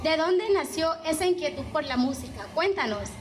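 A young woman interviewing a guest, asking formal, measured questions into a handheld mic in an indoor hall. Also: sits high, expressive, a little hiss or hum. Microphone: handheld microphone.

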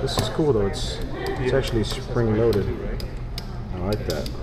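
A man with a lowish voice murmurs and exclaims to himself as he handles items on a shop display. He sounds like he is wearing a camera on his head. Customers chatter around him in the busy store. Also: unhurried. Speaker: vlogger touring a shop. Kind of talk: casual handheld product walkthrough. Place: indoor retail store. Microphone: head-mounted action camera.

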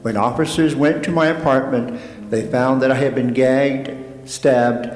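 Slow-reading man in echoey church. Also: medium pitch, steady tone, darkish tone. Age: middle-aged. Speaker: volunteer reader. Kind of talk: solemn reading aloud. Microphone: lectern microphone. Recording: echoey church, music behind.

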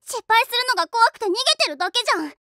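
Impassioned teenage band girl, anime. Very high, bright, swooping delivery, insistent and childlike. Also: lively intonation, racing, dry recording, clean studio sound, heavily acted. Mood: angry, pleading. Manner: emotional, insistent, childlike plain speech. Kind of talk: Japanese anime voice acting.

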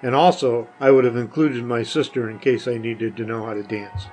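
A man's voice: mid-range voice, fairly even intonation, moderate pace, a little mellow, faint music behind, rough recording. An older man, a podcast guest, answering questions in casual conversation. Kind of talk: casual chat.

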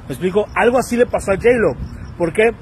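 A Mexican man in his thirties rants casually into his phone camera outside, his voice pitched fairly high. He talks in slang and acts out the people he quotes. Faint traffic hum sits behind him. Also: a little fast, darkish tone, rough recording. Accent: Mexican Spanish. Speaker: vlogger. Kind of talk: casual commentary rant. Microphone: phone selfie camera.

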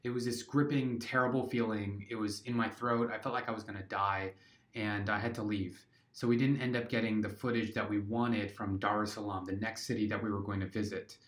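A man's voice: on the low side, steady tone, a little fast, fairly bright tone. A man hosting a video, narrating and interviewing with an upbeat, eager manner.

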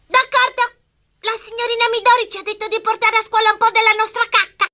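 Vocina acutissima e vivace. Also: female voice, very high voice, steady tone, fast talker, pushed and bright. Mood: carefree. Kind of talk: animated dub acting.

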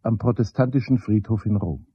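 A man reciting a scripted radio play motto deliberately, phrase by phrase, as an announcer. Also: lowish voice, noisy background. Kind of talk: slow scripted recitation in several languages. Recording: dry, dull 1970s radio recording.

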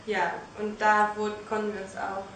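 A young woman in her twenties chats hesitantly but cheerfully about her school, pausing on filler sounds. She stands far from the camera in a large, bright lobby, so her voice rings with a strong, roomy echo. A faint hiss sits underneath. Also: mid-range voice, monotone. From northern Germany. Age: 20s. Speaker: language school student. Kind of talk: casual testimonial chat. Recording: echoey hall, distant mic, faint hiss.

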